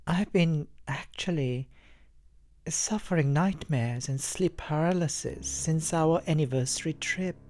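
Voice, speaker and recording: Man doing a haunted young woman, frail, slow delivery, raised pitch, nightmare confession, horror story, music underneath. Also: a little mellow, natural voice. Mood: weary, uneasy.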